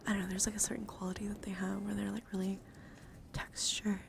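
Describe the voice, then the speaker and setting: A woman streaming ASMR as host, talking in a soft, breathy, often whispered voice, gentle and rambling as she addresses her viewers. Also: mid-range voice, flat delivery, fast talker. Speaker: livestreamer and VTuber host. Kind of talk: ASMR, softly whispered livestream chat. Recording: clearly noisy ambient background.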